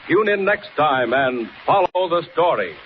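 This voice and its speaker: A man's voice: on the high side, sing-song, measured pace. A man announcing a 1940s radio serial, reading scripted narration in a dramatic, declamatory way. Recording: dull, noisy old radio recording.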